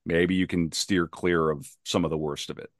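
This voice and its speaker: A man's voice: low-pitched, steady tone, normal speed, no echo, clean studio sound. A man chatting casually on a podcast, emphatic and given to repeating his phrases for effect.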